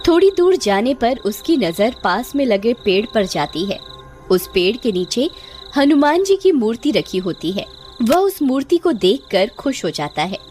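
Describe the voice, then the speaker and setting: A woman's voice: a little high, very animated, unhurried, darkish tone, soft background music. A woman giving scripted narration for a cartoon horror story.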